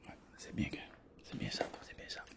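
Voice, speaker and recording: A man doing a hushed ASMR doctor roleplay, guiding the listener patiently and reassuringly. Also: moderate pace. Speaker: ASMR creator playing a doctor. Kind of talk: whispered ASMR medical roleplay.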